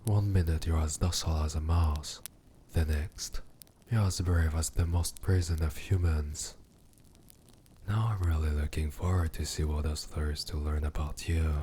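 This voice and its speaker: An extremely deep, dark demon king played by a man speaks slowly and deliberately in an ASMR roleplay. He is cruel and possessive, with a condescending, coolly amused menace. The voice is mellow and breathy, over a faint low rumble. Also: steady tone. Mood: amused, menacing. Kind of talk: scripted ASMR character roleplay.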